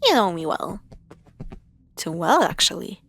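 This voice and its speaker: A woman playing a teasing, affectionate young girlfriend in an intimate scripted audio roleplay, with sarcastic banter and hushed asides. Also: fairly low pitch, sing-song, unhurried, breathy edge, exaggerated voice. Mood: playful, teasing.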